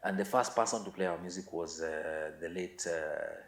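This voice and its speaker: A middle-aged Kenyan man looks back on his past in a relaxed interview format. His voice sits deep, and he talks as a musician guest would, in casual recollection. The room adds a clear echo. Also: moderate pace. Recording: echoey room.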